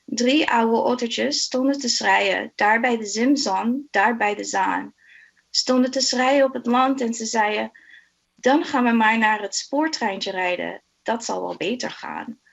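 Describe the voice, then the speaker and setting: A woman's voice: on the high side, measured pace, creaky, slightly rough, lo-fi sound. A middle-aged woman from the Netherlands, a native Dutch speaker, introducing and reading a poem. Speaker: hospital research director reading a poem. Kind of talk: personal introduction and poetry reading.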